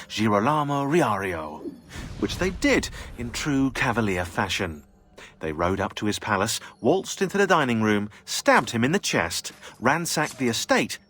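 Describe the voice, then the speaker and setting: A man's voice: mid-range voice, a bit bright. An actor playing a sardonic male narrator reading out historical files in a video game, delivering them dryly and wryly. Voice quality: sardonic, dry. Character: sardonic male database narrator, dry and wry. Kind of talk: scripted narration.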